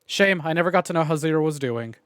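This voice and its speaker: A man's voice: fairly high pitch, lively intonation, fast talker, clean tone, no room sound, clean studio sound. A young man streaming a game, chatting casually with his chat, joking and swearing freely.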